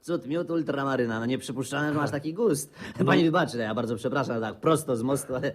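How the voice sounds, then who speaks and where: A man's voice: medium pitch, fairly quick, lightly acted. An actor in a 1950s Polish radio comedy playing a crass, middle-aged class clown, smug and amused by his own jokes. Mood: smug, jokey. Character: crass, self-satisfied class clown, grown up but unchanged. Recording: slightly muffled 1950s radio play recording.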